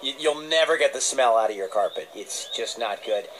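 A man's voice: mid-range voice, expressive, normal speed, a bit bright, low-quality recording. A man hosting a children's radio show in a casual, playful way. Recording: radio played from tablet, some noise.

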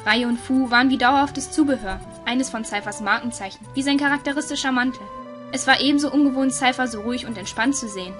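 A woman's voice: high-pitched, quick pace. A woman narrator reading a scripted audio story. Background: music.

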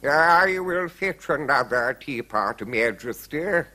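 A man's voice: on the high side, animated, slow pace, sharp tone, voice pushed up, exaggerated voice. A man voicing a nervous, deferential palace butler from a children's storybook, hesitant and servile. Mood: dutiful.

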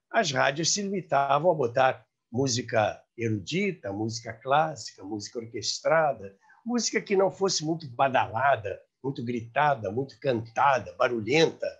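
A man in his seventies with a Brazilian accent, hosting and telling radio history to camera with emphatic, expressive delivery. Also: mid-range voice, husky. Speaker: host. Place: room by a large window, indoors. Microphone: lapel mic.

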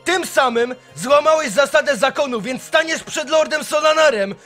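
A man's voice: extremely high pitch, normal speed, faint music behind. A man playing a stern elf guard lieutenant in a fantasy audio drama, barking in a clipped military manner. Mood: stern, shouting. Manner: shouted, clipped military delivery.

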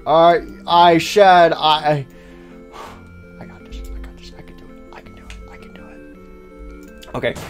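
A man voicing a shy, lovesick male toy rabbit animatronic in a comedy cartoon, with a halting, nervous stammer. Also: medium pitch, soft background music, close to an everyday voice. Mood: timid, psyching himself up. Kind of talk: amateur comedy cartoon dialogue.

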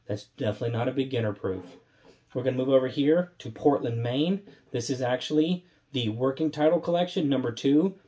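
Man, 30s, slightly raspy, congested, mellow tone, enthusiastic casual review, home bar. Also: mid-range voice. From Texas. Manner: enthusiastic, conversational, holding up bottles while tasting. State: congested from allergies. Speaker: rum reviewer. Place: indoor home bar room.

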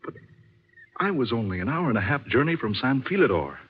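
A man's voice: mid-range voice, very animated, a little fast, natural voice. A man playing a sceptical, blunt American pilot in an old-time radio drama, wry and incredulous in manner. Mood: puzzled, probing. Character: brash, sceptical American pilot stranded among strangers. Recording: muffled, noisy old radio broadcast.

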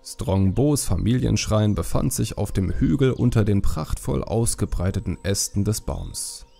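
A man narrating an audiobook story in a measured, calm, solemn way. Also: lowish voice, gravelly.

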